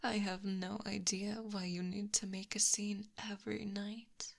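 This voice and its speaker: Woman acting a possessive snake monster girl, soft breathy asmr whispering, real slow, bit of creak, teasing, super close mic. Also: medium pitch, level tone. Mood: teasing menace. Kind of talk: ASMR roleplay. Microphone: close binaural microphone.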